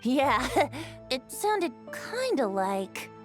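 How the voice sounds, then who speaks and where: A woman's voice: high-pitched, sing-song, very slow, music in the background, heavily acted. A woman voicing a playful, whimsical, youthful male bard in a video game, with a teasing, theatrical manner. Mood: playful storytelling.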